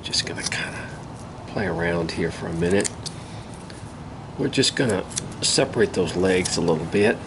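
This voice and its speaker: An older man with a hoarse voice from a cold, casually talking through a woodcarving demonstration in an easygoing, folksy way. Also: deep voice. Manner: easygoing, folksy, unhurried, with many pauses. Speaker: woodcarving instructor. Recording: steady outdoor ambient noise.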